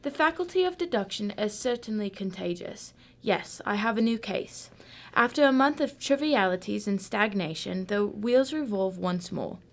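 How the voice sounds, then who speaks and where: A woman plays a cool, analytical female detective in a radio drama. Her medium-pitched voice has plenty of vocal fry. She talks formally and precisely, in measured phrases. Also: lively intonation, natural voice. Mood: pleased. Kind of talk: amateur radio drama acting.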